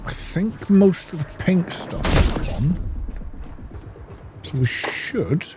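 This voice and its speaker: A man's voice: high-pitched, expressive, slow, over music. A man giving casual video game commentary, thinking aloud in short remarks. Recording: clearly noisy, game sound behind voice. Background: video game ambience.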